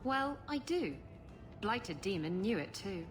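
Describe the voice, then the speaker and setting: A brash, flirty female pirate captain talks in a saucy, playful way with a very lively melody. Faint music runs behind this video game dialogue. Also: mid-range voice, takes it slowly. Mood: teasing. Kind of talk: video game cutscene acting.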